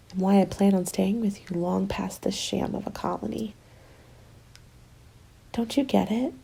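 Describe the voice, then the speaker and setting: A woman playing a cynical, scheming apocalypse survivor in an ASMR roleplay, breathy and often whispering, taunting and self-justifying. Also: medium pitch, warm tone, poor audio. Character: cynical, defiant woman survivor hoarding food, selfishly scheming.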